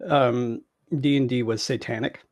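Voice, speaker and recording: A man's voice: medium pitch, fairly even intonation, measured pace, darkish tone, no room sound, studio quality. A middle-aged man, chatting casually as a guest. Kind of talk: casual chat. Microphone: headset on a video call.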